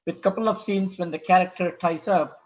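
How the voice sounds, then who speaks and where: A man with a distinctly high voice chats casually in an online group discussion. The sound is a bit muffled, like a video call. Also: fairly even intonation, a little fast. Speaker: workshop participant.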